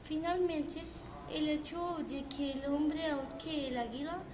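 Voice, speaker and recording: A woman's voice: sits high, unhurried. A woman playing a polite female historian guest, speaking hesitantly with fillers. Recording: echoey, noisy homemade recording.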